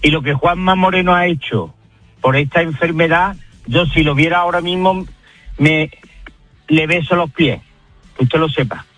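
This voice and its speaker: A man's voice: on the high side, normal speed, pauses often, a bit bright, no echo, soft background music. A man speaking earnestly and emphatically in a recorded call played on air. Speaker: voter on a phone call.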